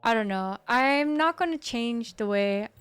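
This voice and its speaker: Chatty young woman streamer, smooth voice. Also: on the high side, expressive, measured pace, a bit bright, no room sound, faint music behind. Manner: laid-back, chatty, reads chat aloud, says bro. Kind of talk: casual live stream chat.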